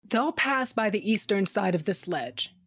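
A woman's voice: mid-range voice, animated, measured pace, fairly bright tone, breathy edge, exaggerated voice. A woman voicing a tough, sardonic fighter with a cybernetic eye who narrates in the first person, blunt and profane with dry humor. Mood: gruff, wry.

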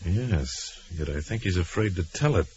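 An actor playing a wealthy, courteous young American crime-fighter in 1940s radio style, on a muffled old recording. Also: male voice, sits low, expressive, normal speed, background noise. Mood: composed.

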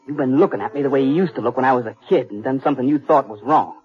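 A man acting a resentful young American cowhand, the kid brother in a radio western. His talk is surly, clipped and rustic, at a middling pitch, on a muffled 1950s recording with faint music. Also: rapid-fire, lightly acted. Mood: sullen, defiant.

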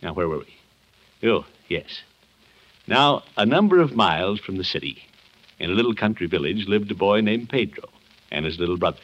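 Kindly deep-voiced uncle, old-time radio. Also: male voice, moderate pace. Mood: gentle storytelling. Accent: American. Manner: avuncular, expressive, theatrical storyteller. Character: kindly, jovial uncle playing Santa to hospitalized children. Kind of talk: 1950s radio comedy acting, storybook reading. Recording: muffled old 1950s radio broadcast.

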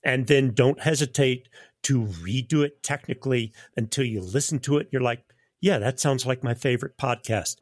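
A man's voice: medium pitch, moderate pace, no room sound, clean studio sound. A man speaking conversationally as an interview guest who produces audio drama.

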